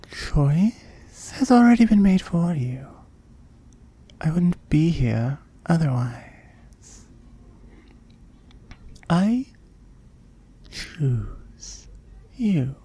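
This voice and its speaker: A woman's voice: on the low side, warm tone, breathy edge, exaggerated voice. A woman playing a seductive, possessive immortal oni demon in an ASMR roleplay, often whispering, teasing and commanding.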